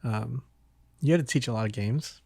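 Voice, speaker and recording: A man hosting a podcast, talking casually about games in a hesitant, halting way. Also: mid-range voice, vocal fry, a little mellow, husky, no echo. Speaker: podcast host.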